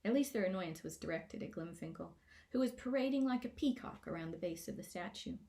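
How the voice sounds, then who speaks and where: A woman narrating a scripted children's fantasy story in a playful, expressive way, as an author reading aloud. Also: on the low side, a little fast, creaky. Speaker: author reading own book.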